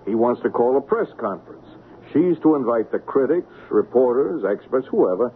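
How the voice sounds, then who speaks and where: An actor playing a smug, persuasive American swindler in a radio drama, coaxing in a conversational way. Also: male voice, medium pitch, lively intonation, moderate pace, close to an everyday voice. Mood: scheming. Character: fast-talking male swindler, smug, persuasive schemer. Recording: tinny, noisy old radio broadcast.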